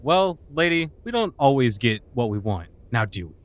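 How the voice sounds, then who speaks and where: A man's voice: medium pitch, sing-song, normal speed, lightly acted. A man playing a cynical, sarcastic human outcast in a fantasy audio drama. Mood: mocking, sarcastic. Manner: sarcastic, mocking.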